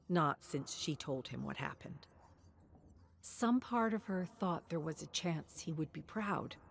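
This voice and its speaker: A woman reading a scripted story in a measured, expressive, dramatic way, sometimes dropping to a hush. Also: low voice, slight background noise. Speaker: narrator of game lore stories.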